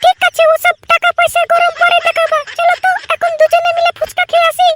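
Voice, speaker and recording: A woman's voice: extremely high pitch, completely flat delivery, fast talker, heavy background noise. A woman voicing a pouting, clingy young girlfriend in a comedy cartoon, with a whiny, sulky manner. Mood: coy. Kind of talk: cartoon voice acting.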